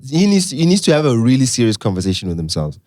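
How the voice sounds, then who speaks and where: Podcast co-host, South African man, loud and animated, curses freely, dry close mic. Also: mid-range voice, fast, no echo. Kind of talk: casual chat.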